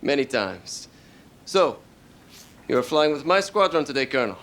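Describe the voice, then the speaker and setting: An actor playing a warm, sly Russian fighter pilot with a Russian accent, talking in halting short phrases. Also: male voice, medium pitch, big pitch swings. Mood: earnest.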